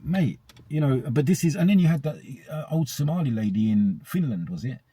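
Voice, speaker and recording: A man's voice: on the high side, expressive, a little fast, dark tone, breathy edge, dry recording. A man with a British accent co-hosting a podcast, giving opinions in casual conversation with an emphatic, colloquial manner and repeating phrases for emphasis. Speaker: podcast co-host.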